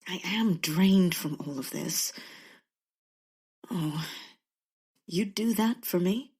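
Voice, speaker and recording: A woman's voice: fairly low pitch, slow pace, darkish tone, husky, heavily acted. A woman playing an impatient, weary investigator in a comedic audio drama, curt and exasperated.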